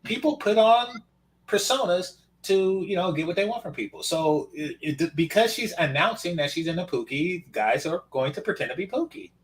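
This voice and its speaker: A man's voice: high-pitched, animated, moderate pace, poor audio. A man from Oakland chatting casually as a podcast co-host, telling stories in a wry, matter-of-fact way. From Oakland, California. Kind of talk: casual chat.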